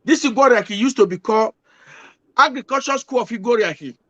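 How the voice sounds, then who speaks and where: A man speaking English with a Nigerian Pidgin accent on a live debate panel, halting and correcting himself as he goes. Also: very high voice, fairly bright tone, no echo, dull audio. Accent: Nigerian Pidgin-inflected English.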